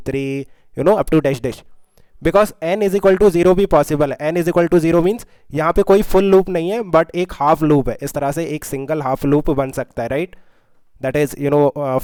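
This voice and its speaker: A man's voice: fairly high pitch, darkish tone, clear, resonant tone, no room sound. A man with an Indian accent working through a problem step by step as a tutor, explaining and often checking in with short questions. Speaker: physics tutor. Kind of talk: step-by-step problem-solving lesson, mixing two languages.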